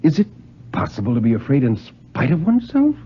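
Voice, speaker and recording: A man's voice: medium pitch, wildly swooping pitch, fairly quick, a little hiss or hum. A man voicing a proud, agitated nobleman who is tense and questions himself, in a radio story reading. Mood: anxious dread. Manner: tense, self-questioning, forcing himself to be firm. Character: agitated, proud French nobleman dreading a duel. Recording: muffled, band-limited 1950s radio recording.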